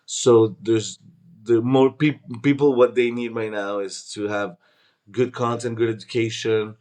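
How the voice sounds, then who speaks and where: A man's voice: medium pitch, steady tone, measured pace, darkish tone. A man in his twenties, born in Peru and raised in Canada, talking casually as a startup founder being interviewed. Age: 20s.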